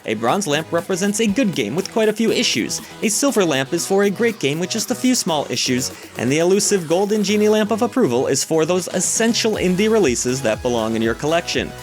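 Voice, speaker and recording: A man narrating a scripted video game review in a measured, lightly wry, opinionated way. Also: high-pitched, sing-song, soft background music.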